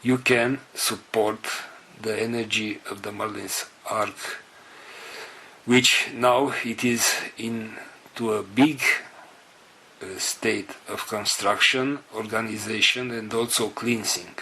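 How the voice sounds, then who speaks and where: Very slow, low-voiced earnest man. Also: steady tone, pushed and bright, slight background noise, poor audio. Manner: halting, earnest, phrases broken by pauses. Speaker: spiritual group leader. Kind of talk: spiritual address to group members.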